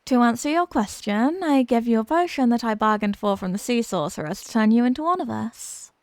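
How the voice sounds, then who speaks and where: High-voiced, haughty mermaid princess, acted by a woman. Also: expressive, fairly quick, a little mellow, no echo, studio quality. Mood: smugly reassuring. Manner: condescending, sweetly smug, teasing. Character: obsessive, haughty young mermaid princess, possessive and condescending. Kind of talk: scripted roleplay ASMR audio drama.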